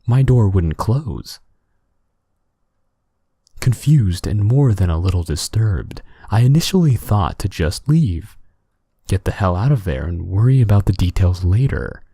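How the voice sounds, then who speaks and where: A man reads a scary story as soothing bedtime narration. His voice sits on the low side and has a dark, relaxed tone. The pitch moves in a lively way through the scripted lines, and the recording is very dry. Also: measured pace, husky, no room sound.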